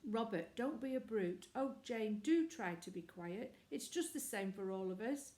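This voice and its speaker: A woman's voice: mid-range voice, animated, unhurried, warm tone, lightly acted. A woman voicing an anxious, soothing older sister in a children's story. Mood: pleading. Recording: echoey room.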